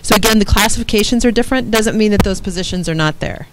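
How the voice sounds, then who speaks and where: A woman administrator explaining staffing classifications at a board meeting in a drawn-out, explanatory way. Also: medium pitch, noisy background. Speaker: school administrator. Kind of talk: answering questions at a board meeting. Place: public meeting room.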